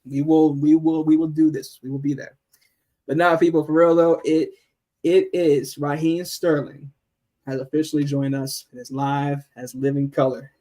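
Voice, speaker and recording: Guy hosting a live football stream, kinda high voice, playful and animated, lots of ums and restarts. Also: moderate pace. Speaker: host. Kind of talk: casual live football chat.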